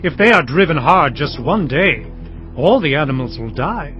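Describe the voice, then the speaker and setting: A man's voice: a little high, big pitch swings, moderate pace. A man playing a humble, courteous herdsman in a dramatized Bible, speaking in a deferential, earnest way. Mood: deferential pleading. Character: humble, courteous herdsman father placating his brother. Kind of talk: dramatized audio Bible acting. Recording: dull sound, light music behind.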